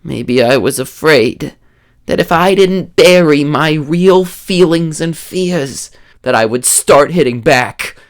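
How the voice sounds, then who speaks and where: A woman's voice: sits low, slow pace. A woman playing a traumatized, bullied young law student with bitter defiance, speaking earnestly and emotionally in a comic dub. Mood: bitter, confessional. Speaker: voice actor. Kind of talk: dramatic comic dub acting.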